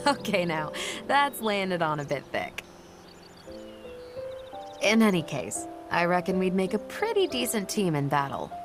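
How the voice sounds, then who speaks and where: Lowish, animated ace pilot. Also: female voice, measured pace, long pauses, over music. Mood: modest, assured. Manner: self-assured, easygoing. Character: confident, easygoing female ace pilot in sci-fi game. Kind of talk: video game cutscene acting.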